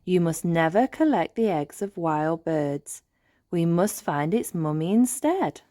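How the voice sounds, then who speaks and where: Fairy nanny played by a woman, storybook. Firm, instructive, matter-of-fact, expressive pitch. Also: a little slow, dry recording, clean studio sound.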